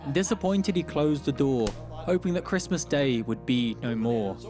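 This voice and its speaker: A man narrating a rhyming radio story in a theatrical, measured verse delivery. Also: mid-range voice, animated, over music.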